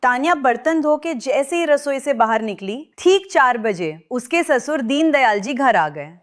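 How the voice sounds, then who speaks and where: A woman tells a scripted family story with high, clear narration. Her delivery stays even and expressive throughout. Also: measured pace.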